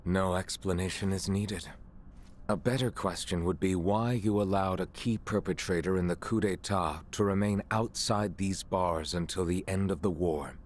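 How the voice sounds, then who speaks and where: Deep, grave imprisoned nobleman, voiced by a man. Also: slight background noise, lightly acted. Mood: guarded, stern. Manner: formal, archaic, measured speech. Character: grave, remorseful imprisoned nobleman warrior, formal and resolute. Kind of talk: video game cutscene acting.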